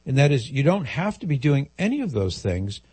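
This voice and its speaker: Husky older man hosting radio advice. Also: mid-range voice, no echo. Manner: calm, measured, fatherly advice. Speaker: radio call-in host.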